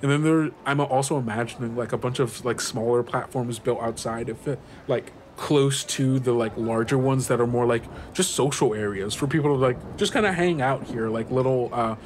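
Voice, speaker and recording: A man's voice: mid-range voice, brisk, few pauses, a little hiss or hum. A man narrating a tabletop game as game master, thinking aloud in a conversational way.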